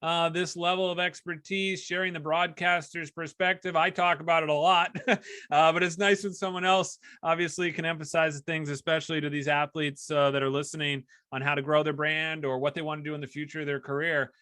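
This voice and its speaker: Bright, dry-sounding American male podcast host. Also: fairly high pitch, little pitch movement, brisk, no echo. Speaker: podcast host, sports broadcaster. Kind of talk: conversational podcast hosting.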